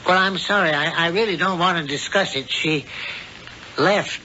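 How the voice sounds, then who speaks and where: A man's voice: on the high side, normal speed, sharp tone, slight background noise. An actor playing a genteel, sinister old male witch in a 1970s radio drama, mild and fussily polite. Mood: feigned politeness. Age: older. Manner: mild, fussy, politely evasive. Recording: muffled old radio broadcast, music behind.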